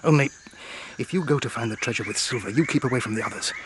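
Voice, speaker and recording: A man playing a stern, protective gentleman doctor in a radio drama. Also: mid-range voice, fast talker, noisy background, lightly acted. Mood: urgent.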